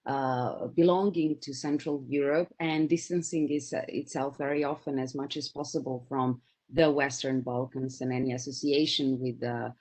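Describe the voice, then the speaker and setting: A woman from Serbia speaks as a researcher on a panel. She talks hesitantly, with frequent fillers. Her voice sits low. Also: fairly even intonation, moderate pace, darkish tone. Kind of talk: academic panel talk.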